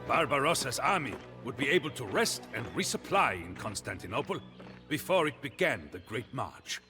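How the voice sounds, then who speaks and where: An actor playing an old man who narrates a medieval emperor's tale in a video game cutscene, with theatrical, measured, emphatic phrasing. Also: mid-range voice, sing-song, lightly acted. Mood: dramatic storytelling. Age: older. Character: old man, dramatic storyteller of a medieval emperor's rise and fall. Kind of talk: scripted dramatic storytelling narration for a game cutscene. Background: loud music.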